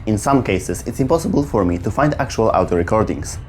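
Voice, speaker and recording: A man's voice: lowish voice, expressive, fairly quick, darkish tone, a little hiss or hum. A man narrating a scripted video game lore explainer as its host.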